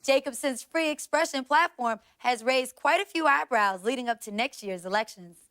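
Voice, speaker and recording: A woman's voice: high voice, a bit bright. A woman playing a composed news anchor in a video game, reading scripted reports formally with an American accent. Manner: polished, formal, measured reading.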